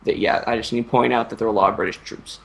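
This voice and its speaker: A young man improvising a comic story in a casual, halting, rambling way with crude slang. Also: lowish voice, fairly even intonation, creaky.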